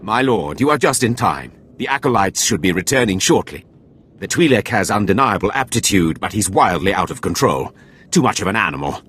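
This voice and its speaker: An actor playing a haughty, scornful Sith academy overseer in a video game, contemptuous and theatrical. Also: male voice, lowish voice, very animated, brisk, a bit bright, a little hiss or hum. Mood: smug, obsequious.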